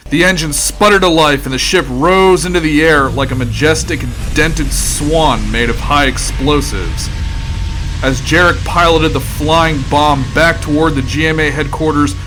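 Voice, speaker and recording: A man's voice: medium pitch, fairly bright tone, a little hiss or hum, lightly acted. A man playing the narrator of a mock-heroic sci-fi audio drama, with grand, dramatic scripted delivery. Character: grandly dramatic male storyteller of a mock-heroic space saga.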